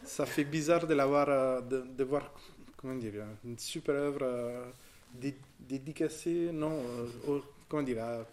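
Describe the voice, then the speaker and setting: A man in his forties speaks French with an Italian accent, in an ordinary middle pitch. He talks at a casual, unhurried pace, stopping and restarting as he hunts for the right word, stringing his thoughts together with fillers. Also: fairly even intonation, darkish tone, a little hiss or hum. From southern Italy. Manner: hesitant, searching for words, many restarts. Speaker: visitor discussing an exhibition. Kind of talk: casual conversation.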